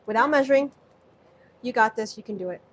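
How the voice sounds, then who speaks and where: A woman's voice: on the high side, fairly quick. Chatty woman from Southeast Asia, cooking live. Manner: chatty, reads viewer comments aloud, rambling with many restarts. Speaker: live-stream cooking host. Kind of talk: casual live-stream chat while cooking. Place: home kitchen.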